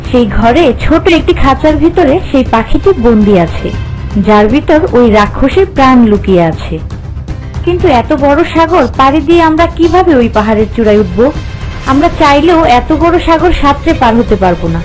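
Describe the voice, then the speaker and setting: Woman playing a high-voiced young princess. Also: animated, a little fast, a little mellow, rough recording. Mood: earnest, urgent. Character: young fairy-tale princess, anxious and earnest. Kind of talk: cartoon fairy-tale dialogue. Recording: music behind the voice.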